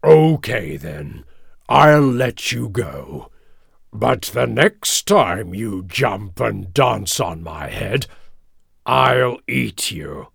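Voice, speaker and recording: Casting: haughty storybook lion king, big and menacing, booming voice, very slow drawn-out lines. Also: sing-song, vocal fry, a bit bright, husky, dry recording, studio quality, exaggerated voice. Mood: grudging. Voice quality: roaring, booming. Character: big, proud, angry, scornful lion king. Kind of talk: children's storybook character voice.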